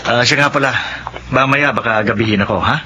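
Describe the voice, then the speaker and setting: An actor playing a calm, busy father and husband. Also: mid-range voice, a little fast, a little hiss or hum. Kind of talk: radio drama acting.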